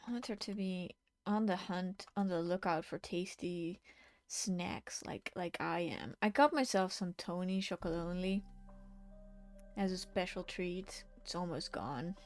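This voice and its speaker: A woman's voice: on the low side, fairly even intonation, unhurried, faint music behind. A woman streaming while she paints, chatting casually with her viewers and often half-whispering to herself as she works. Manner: chatty, reacting to chat with laughs. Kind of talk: casual chat while drawing.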